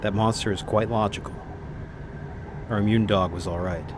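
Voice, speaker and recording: An actor in a radio drama playing a calm, logical polar researcher with a dry, matter-of-fact way of talking. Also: male voice, fairly low pitch, normal speed, background noise, close to an everyday voice. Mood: grimly dry.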